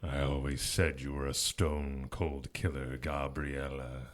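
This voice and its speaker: A man's voice: extremely low pitch, little pitch movement, a little slow. A man playing a smug, taunting, seductive fallen angel in an audio drama, with a teasing, sardonic drawl. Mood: gleeful admiration.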